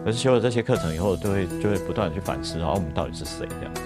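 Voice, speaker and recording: A man, an artist being interviewed, speaking in a quick, reflective way. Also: low-pitched, lively intonation. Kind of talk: casual interview speech. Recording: interview with very loud music over it.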